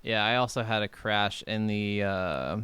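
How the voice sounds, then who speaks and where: A man's voice: lowish voice, a little slow, pushed and bright, clear, resonant tone, dry recording. A man talking casually as a co-host of a video game podcast. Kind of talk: casual chat.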